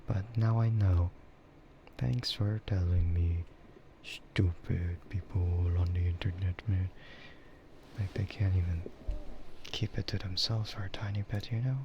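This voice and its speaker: A soft-spoken man chatting casually and haltingly in a laid-back ASMR livestream style. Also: deep voice. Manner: chuckles often while talking. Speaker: VTuber livestreamer. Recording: muffled audio with clear background noise.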